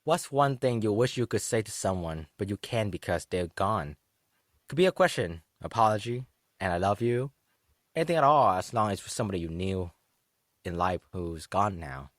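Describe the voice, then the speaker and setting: Calm guy asking listeners a question, lowish, dry. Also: normal speed, no echo. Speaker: host.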